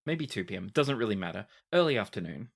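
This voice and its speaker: Deliberate man running a tabletop game. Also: fairly low pitch, sing-song, gravelly. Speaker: game master. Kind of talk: narrating a tabletop roleplaying game.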